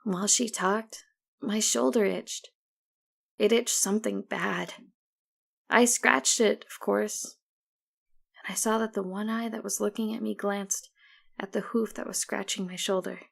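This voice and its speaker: A woman's voice: mid-range voice, steady tone, a little slow, creaky, pauses often, husky. A woman narrating a scripted first-person horror story as an audiobook reader.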